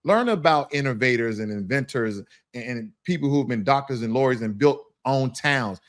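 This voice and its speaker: A man's voice: mid-range voice, expressive, normal speed. A man in a casual discussion, speaking passionately and asking rhetorical questions.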